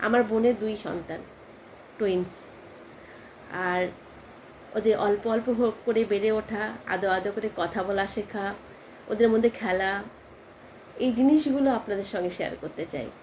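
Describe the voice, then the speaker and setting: A woman narrating a casual family vlog, speaking informally and haltingly with English words mixed in. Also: medium pitch, darkish tone, slight background noise, low-quality recording. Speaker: family vlogger. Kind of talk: casual personal narration to viewers.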